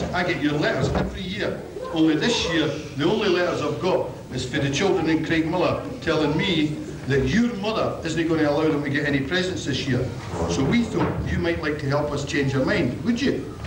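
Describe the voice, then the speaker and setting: A man's voice: fairly high pitch, animated, normal speed, background noise, natural voice. A man with a Scottish accent playing a hearty, earnest Santa Claus, projecting his lines in an amateur pantomime. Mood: persuasive. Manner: projected, declamatory. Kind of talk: amateur stage pantomime acting. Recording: echoey 1980s hall video, distant, loud music.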